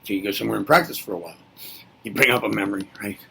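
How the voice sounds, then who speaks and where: A man's voice: on the low side, very fast, vocal fry, sharp tone, husky, poor audio. A man giving a reflective spoken lesson, musing aloud and asking himself rhetorical questions before answering them. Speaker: presenter.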